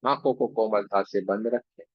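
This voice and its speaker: A man guiding a meditation in a gentle, unhurried, devotional way, giving instructions phrase by phrase with long pauses. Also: on the low side, breathy edge, no echo, dull audio. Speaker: meditation guide and Reiki teacher. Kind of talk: guided meditation, slow spoken instruction with pauses.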